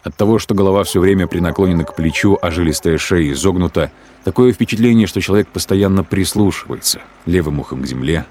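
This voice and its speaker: A man narrating scripted prose for a radio play in a deliberate, descriptive storytelling manner. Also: deep voice, darkish tone.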